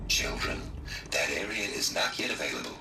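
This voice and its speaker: A man's voice: very deep voice, lively intonation, moderate pace, vocal fry, reverberant, far from the mic, music in the background, heavily acted, low-quality recording. An actor playing a cheerful, unflappable robot father with chirpy, programmed politeness in a video game.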